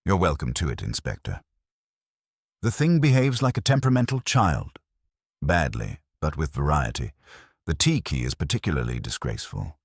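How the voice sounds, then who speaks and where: A man's voice: extremely low pitch, big pitch swings, normal speed, vocal fry, slightly rough, no echo, studio quality, heavily acted. A man voicing a benevolent, wry woman librarian in a mystery story, dryly disapproving.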